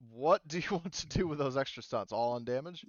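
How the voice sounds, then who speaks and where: A man's voice: mid-range voice, expressive, a little fast, no room sound, muffled recording. A man running a tabletop game as game master, explaining rules and thinking aloud in a casual way.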